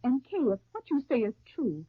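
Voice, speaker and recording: An actress playing a resentful, defiant teenage daughter in a 1930s radio soap. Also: female voice, a little high, very animated, brisk, breathy edge, no room sound. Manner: defiant, accusing. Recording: tinny 1939 radio broadcast recording.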